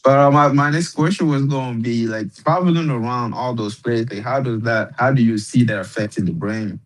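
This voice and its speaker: A man hosting a podcast as casual chat, speaking in slang and haltingly, often repeating his words. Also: mid-range voice, low-quality recording. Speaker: podcast host.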